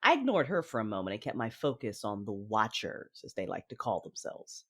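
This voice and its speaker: An actress playing a cold, commanding Sith mother who narrates her own story in a measured, menacing, controlled manner. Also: extremely low pitch, very animated, husky, full character voice. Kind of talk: first-person audio drama narration with dialogue. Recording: music under the voice.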